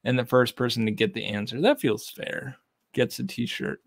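Man in his thirties, from Texas, relaxed livestream chat, dry close desk mic. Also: medium pitch, moderate pace, creaky, no echo. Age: 30s. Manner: relaxed, chatty, reading viewer comments aloud. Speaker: whiskey channel host. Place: home bar with lit shelves of bottles. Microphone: desk condenser mic.

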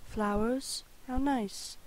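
A woman voicing a sweet, caring young woman in a gentle, earnest manner for a game fandub audition. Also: on the high side, fairly even intonation, drawn-out words, rough recording. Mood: tender. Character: sweet, caring young woman greeting her childhood friend.

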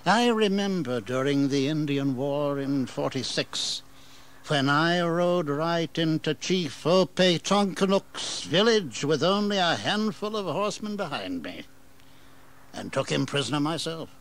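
An actor playing a weary, proud older colonial governor in an audio history drama, with a stately delivery. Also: male voice, a little high, animated, a little slow, a bit bright. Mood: weary, reflective.

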